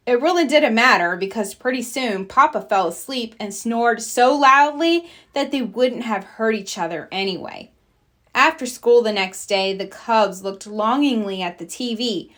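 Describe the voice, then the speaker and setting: A woman narrating a children's picture book in an expressive storytelling style. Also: a little high.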